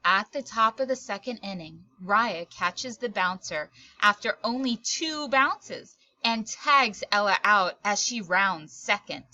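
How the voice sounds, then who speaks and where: A woman storyteller reading a children's picture book aloud in expressive narration, with dramatic, deliberate phrasing. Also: medium pitch, highs cut off.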